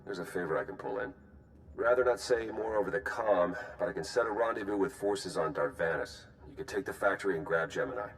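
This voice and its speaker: A man's voice: deep voice, lively intonation, fairly quick, fairly bright tone, slight background noise, rough recording. A man playing a dry, wry spy arranging allies over a comm in a game cutscene.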